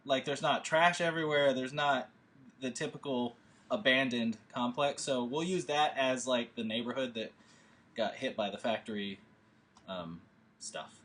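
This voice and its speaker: A man's voice: medium pitch, unhurried, fairly bright tone. Rambling, upbeat man on a video call. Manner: rambling, enthusiastic, many fillers and restarts. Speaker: filmmaker leading a table read. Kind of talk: casual chat. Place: at home.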